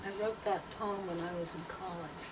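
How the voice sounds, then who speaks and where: An older woman poet and teacher speaking and reading aloud with measured, deliberate phrasing. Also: lowish voice, fairly even intonation. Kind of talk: interview talk and poetry reading aloud. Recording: distant mic, clearly noisy background.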